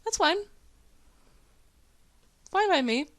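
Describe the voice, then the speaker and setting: A woman's voice: very high-pitched, lively intonation, slow pace, fairly bright tone, no room sound. A woman chatting casually, with an encouraging, slangy, informal way of talking. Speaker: host of a casual group chat. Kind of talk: casual chat.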